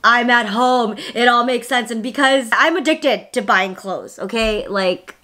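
A woman's voice: on the high side, unhurried, bright, forceful tone. Young woman, twenties, casual vlog chatter, upbeat, filler-heavy, home room. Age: 20s. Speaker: vlogger. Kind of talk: casual vlog talk to camera. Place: small home studio room indoors.

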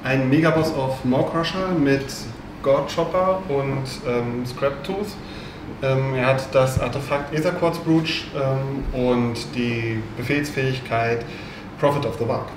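A man's voice: medium pitch, steady tone, a little slow. A man in his thirties from Germany, a guest player, speaking hesitantly with many fillers. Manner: hesitant, lots of ähm fillers. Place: hobby game shop, indoors. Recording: echoey room, distant mic, audible hiss.

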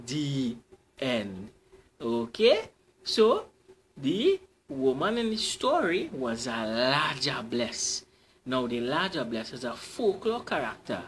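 Slow, expressive storyteller man. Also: on the high side, slight background noise. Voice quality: expressive, repeats key points. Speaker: children's storyteller. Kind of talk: folktale reading. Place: library storytime.

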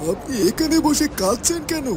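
A man's voice: extremely high pitch, expressive, a little fast, noisy background. A man voicing a concerned younger man in a cartoon, with an earnest, insistent manner. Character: concerned younger man questioning a weeping stranger. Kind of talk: cartoon voice acting. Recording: music behind the voice.

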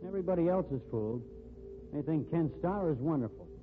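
An actor playing a stern, jealous, blunt friend, lecturing forcefully in 1950s radio style. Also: male voice, on the high side, lively intonation, fairly quick, close to an everyday voice. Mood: scornful warning. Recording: tinny, noisy 1950s radio recording.

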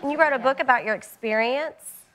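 Higher-voiced woman co-hosting a talk show. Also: fairly quick, fairly bright tone, low-quality recording. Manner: gushing, affirming interjections. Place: TV studio with audience.

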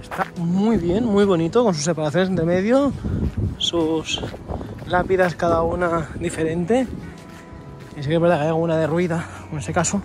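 A man's voice: on the high side, normal speed. A man with a Spain accent giving casual, chatty travel narration to camera while walking outdoors. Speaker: travel vlogger. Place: mostly outdoors in city streets and parks. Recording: handheld outdoor vlog with music behind.

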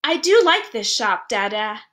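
A woman voicing a small, eager boy in a storybook reading, excited and childlike. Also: a little high, measured pace. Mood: eager wonder.

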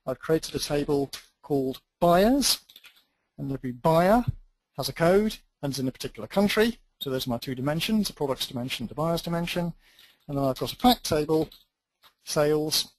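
A man's voice: a little high, no echo, rough recording. A man teaching a database tutorial, thinking aloud as he types through a live demo with dry, self-deprecating asides. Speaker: database instructor.

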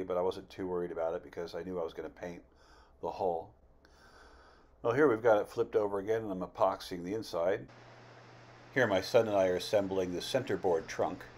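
A man in his fifties giving a casual, plain-spoken, unhurried voice-over as an amateur builder narrating his project. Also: sits low, a little mellow, a little hiss or hum. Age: 50s.

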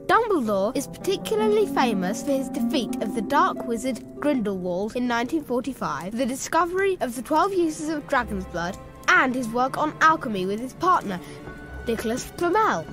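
A woman's voice: high-pitched, very animated, normal speed, over music. A woman playing an earnest, loyal young schoolboy wizard in a video game. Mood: excited.

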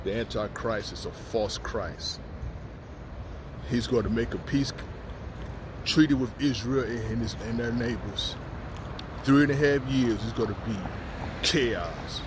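A man's voice: mid-range voice, unhurried, long pauses. A man in his fifties vlogging from a downtown street with a phone at arm's length, preaching urgent end-times warnings and often asking "understand?". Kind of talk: walking selfie vlog, preaching. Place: outdoors on a downtown city street. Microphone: phone held at arm's length. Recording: loud street noise behind phone audio. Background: traffic and city ambience.

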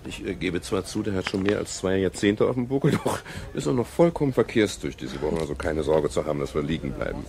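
An actor playing a wry, world-weary private detective in a 1970s German radio crime drama, speaking in a dry, measured and polite way. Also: male voice, sits low, expressive, gravelly, a little mellow. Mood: polite, reassuring. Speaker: lead actor and first-person narrator. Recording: 1970s radio play with music.

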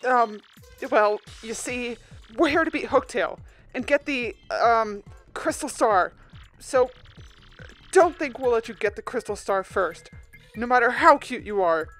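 A woman acts out an earnest young male turtle from a cartoon game. The voice is lightly high and very animated, hesitant and timid, with music underneath. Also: unhurried. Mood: hesitant, defensive. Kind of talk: video game fan voice acting.

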